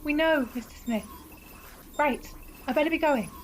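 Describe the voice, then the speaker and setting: A woman playing a sensible, slightly exasperated teenage schoolgirl in an audio drama, brisk and corrective. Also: sits high, lightly acted, poor audio. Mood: casual.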